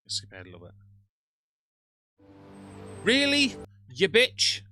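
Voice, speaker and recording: Sarcastic British man, bright voice. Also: high voice, huge pitch swings, slow pace, slight background noise. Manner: sarcastic, ranting, greets chat viewers. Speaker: livestream host reacting to another streamer. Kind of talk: casual live-stream commentary.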